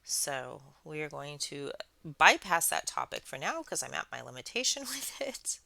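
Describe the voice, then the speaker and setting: A woman hosting a craft channel, chatting casually in a soothing, soft-spoken, rambling way. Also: sits low, very animated, moderate pace, creaky, no echo. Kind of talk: casual chat while crafting.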